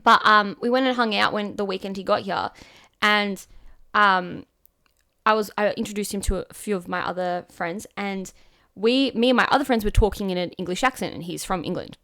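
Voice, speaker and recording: A woman's voice: mid-range voice, moderate pace, sharp tone. A young woman with an Australian accent, co-hosting a podcast, rambling through stories and giggling often. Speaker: podcast co-host. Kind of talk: casual chat.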